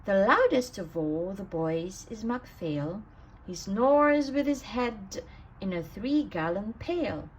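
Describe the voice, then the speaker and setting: A woman reading a rhyming children's bedtime story aloud in an expressive, sing-song way with emphatic stresses. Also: mid-range voice, takes it slowly, a little hiss or hum, rough recording. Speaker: storybook reader.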